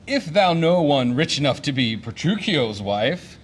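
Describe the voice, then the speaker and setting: A man playing a blustering, boastful gentleman fortune-hunter in a declamatory Shakespearean audio play. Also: on the high side, sing-song, unhurried, slight background noise. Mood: confident. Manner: declamatory, swaggering.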